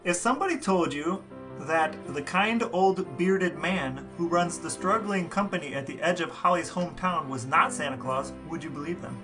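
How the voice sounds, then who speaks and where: A man's voice: a little high, moderate pace, over music. A man co-hosting a podcast, putting questions in a casual interview style.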